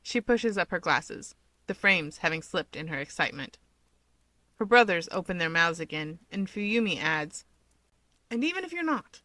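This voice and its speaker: A woman's voice: on the low side, normal speed, pushed and bright, no room sound. A woman reading a scripted story aloud as narrator, putting on voices for the characters. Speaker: fan fiction reader.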